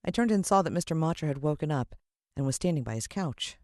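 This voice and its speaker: An American woman narrating a children's adventure story in an expressive, suspenseful storytelling style. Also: low voice, fast, no echo, clean studio sound. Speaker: audiobook narrator.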